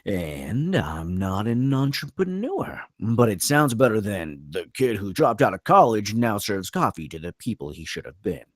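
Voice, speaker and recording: A man's voice: fairly low pitch, big pitch swings, moderate pace, hardly any pauses, dry recording, studio quality. A man at a script table read playing a brash, sarcastic young delinquent brother, mouthy and emphatic. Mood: sardonic.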